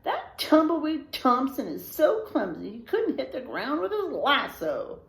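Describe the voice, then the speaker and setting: A woman's voice: high voice, wildly swooping pitch, unhurried, creaky, roomy sound, voice pushed up, heavily acted. A woman voicing a feisty, exasperated widowed rancher in a children's storybook tall tale, complaining emphatically. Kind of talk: children's storybook read-aloud.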